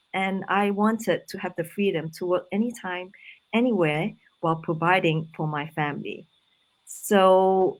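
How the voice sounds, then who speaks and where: A woman's voice: fairly low pitch, rough recording. A woman in her forties, from Singapore, hosting a casual live online chat in a friendly, conversational way with fillers. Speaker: host.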